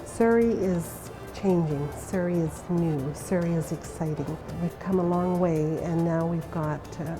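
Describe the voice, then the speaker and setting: A woman speaking for her city in a promotional interview, listing its features in a proud, measured way. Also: on the low side, poor audio. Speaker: local city representative interviewee. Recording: music bed behind the voice.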